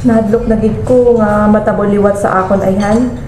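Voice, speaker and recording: A woman's voice: mid-range voice, fairly even intonation, normal speed, over music, lightly acted. A woman playing a self-reliant young career woman in an amateur student radio drama. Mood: friendly, candid. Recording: echoey room, clear background noise.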